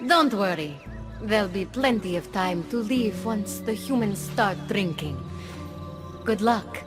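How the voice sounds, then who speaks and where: A woman acting a cool, sly game schemer with a controlled, condescending delivery. Her voice sits at a middling pitch. Music plays clearly behind her. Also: lively intonation, unhurried, close to an everyday voice. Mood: dry, scheming. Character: cool, sly woman plotting poisonings as a rebel ally. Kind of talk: video game cutscene acting.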